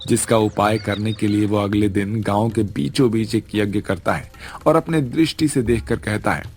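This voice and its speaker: A man's voice: fairly low pitch, normal speed, a little mellow. A man narrating a scripted cartoon story as the storyteller. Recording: music under the voice.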